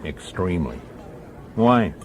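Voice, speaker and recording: An actor playing a world-weary middle-aged police detective in a radio drama, speaking tersely and dryly, also as narrator. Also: male voice, low-pitched, very animated, takes it slowly, background noise, full character voice. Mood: wary, low. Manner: terse, dry, clipped. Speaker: detective and first-person narrator.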